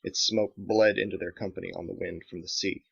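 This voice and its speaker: A man's voice: sits low, fairly even intonation, fairly quick, vocal fry, breathy edge. A man reading his own dramatic action novel as scripted audiobook narration, in a slightly dull recording.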